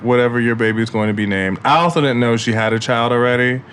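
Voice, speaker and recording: A man's voice: mid-range voice, steady tone, quick pace, fairly bright tone, a little hiss or hum. A man co-hosting a podcast in casual chat, joking and cursing freely. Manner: joking, profane, laid-back. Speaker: podcast co-host.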